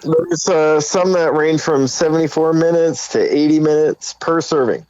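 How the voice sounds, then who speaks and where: A man's voice: fairly high pitch, moderate pace, a little mellow, no echo. A man co-hosting a podcast, talking casually on a slightly dull recording. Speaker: podcast co-host.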